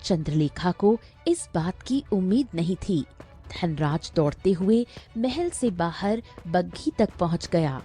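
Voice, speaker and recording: A woman's voice: on the low side, expressive, measured pace, warm tone, breathy edge, soft background music. A woman narrating a scripted fairy tale as a storyteller.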